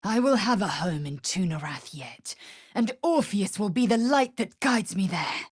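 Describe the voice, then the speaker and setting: Husky, haughty alien warrior woman. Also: medium pitch, lively intonation, unhurried, no room sound, clean studio sound. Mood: stern, haughty. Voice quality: husky, breathy rasp. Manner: clipped, imperious, formal phrasing. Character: stern, proud githyanki warrior woman, blunt and haughty. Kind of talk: dramatic fantasy video game voice acting.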